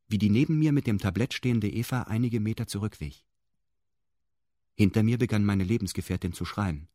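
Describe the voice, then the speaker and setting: Low-voiced, detached male narrator. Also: darkish tone, dry recording, studio quality. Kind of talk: scripted radio drama narration in first person.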